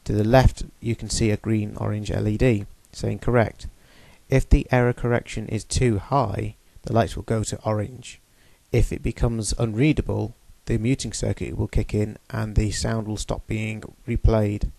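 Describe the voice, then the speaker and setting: A man giving a measured, technical demonstration of a studio machine. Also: fairly low pitch, flat delivery, creaky, dark tone, no room sound. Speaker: equipment demonstrator. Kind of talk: explanatory voice-over demonstration.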